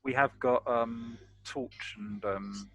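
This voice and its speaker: Bright, forceful man at a game table. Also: mid-range voice, steady tone, takes it slowly, no room sound. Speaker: player in a tabletop roleplaying game. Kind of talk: casual game-table talk.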